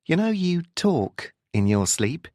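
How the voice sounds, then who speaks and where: A man voicing a curious, persistent woman who probes gently in a narrated novel. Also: on the high side, big pitch swings, takes it slowly, no room sound, clean studio sound, voice pushed up. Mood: curious, teasing. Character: curious, persistent young woman, probing and smoking.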